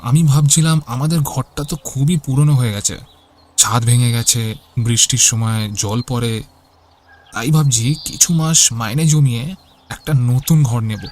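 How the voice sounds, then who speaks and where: A man's voice: mid-range voice, normal speed, darkish tone, natural voice. A man voicing a dutiful young grown son from a village in a cartoon, earnest and respectful. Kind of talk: Bengali cartoon voice acting.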